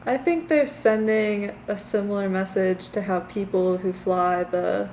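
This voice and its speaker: Gravelly-voiced young woman, thoughtful. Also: medium pitch, a little slow, darkish tone, echoey room, a little hiss or hum, poor audio. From Virginia. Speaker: interviewee. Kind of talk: casual street interview.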